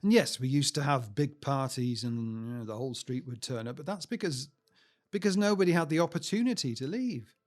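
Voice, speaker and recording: A man's voice: medium pitch, expressive, fairly quick, darkish tone, lightly acted. An actor playing a cheerful, talkative middle-aged British husband and proud grandfather in a naturalistic audio drama, reflective and sentimental as he chats. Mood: warm, reflective. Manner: chatty, reflective speech-making.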